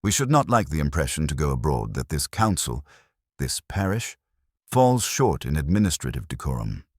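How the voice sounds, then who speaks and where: A man's voice: very deep voice, very animated, moderate pace, a little mellow, breathy edge, dry recording, studio quality, exaggerated voice. A man voicing a pompous, evasive, genteel council chairman with roundabout politeness in an audiobook mystery. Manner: pompous, circumlocutory, hesitant politeness.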